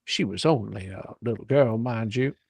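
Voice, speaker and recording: A man's voice: on the low side, normal speed, gravelly, breathy edge, no echo. A man giving a dramatic, hammy, comedic live reading of an adventure storybook. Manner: hammy, ad-libs folksy drawling turns of phrase. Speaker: storyteller reading a book aloud to a live audience.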